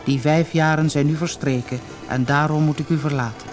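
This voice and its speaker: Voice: medium pitch, steady tone, faint music behind, lightly acted. A narrator voicing a thin male thunder spirit who speaks formally, solemnly and courteously in a fairy tale audiobook. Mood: solemn, grateful. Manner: formal, measured announcements.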